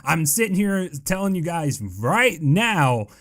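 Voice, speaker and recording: Young male gaming vlogger, deep voice, loose and rambling delivery. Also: sing-song, slow, fairly bright tone. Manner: rambling, heavy with fillers and "I guess you could say". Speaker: gaming YouTuber answering viewer questions. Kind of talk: casual Q&A chat.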